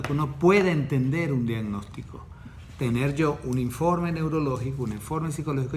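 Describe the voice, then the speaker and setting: A man, his voice sitting a little high for a man, explains things step by step in a podcast chat. He often stops to ask "ok?" to check he is being followed. There is a faint hum of room noise behind him. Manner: didactic, repeats himself, checks in with "¿ok?". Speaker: diploma course facilitator teaching the nervous system.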